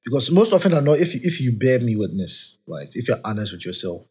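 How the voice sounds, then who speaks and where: A man's voice: big pitch swings, a little fast, darkish tone, husky. A man hosting a podcast, casually reflecting on faith in a rambling, self-correcting way. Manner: talks of his high-pitched voice. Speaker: podcast host.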